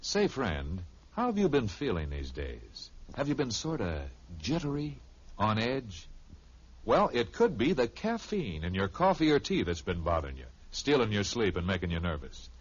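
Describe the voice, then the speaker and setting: An American man delivering a folksy, persuasive 1950s radio commercial in a husky voice. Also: lowish voice, wildly swooping pitch, normal speed. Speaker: commercial pitchman. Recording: dull vintage radio recording.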